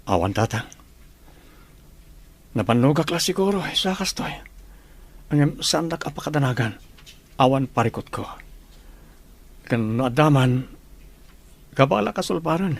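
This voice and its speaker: A man's voice: a little high, animated, unhurried, long pauses. A man playing a modest, patient and friendly young teacher in an audio drama. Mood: troubled. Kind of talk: Ilocano audio drama acting.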